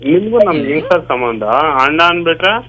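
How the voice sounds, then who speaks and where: A man's voice: fairly high pitch, lively intonation, brisk, pushed and bright. A man of twenty-two in a casual phone conversation, polite but curt and ready to argue. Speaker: prank call victim, shop worker. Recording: noisy phone call.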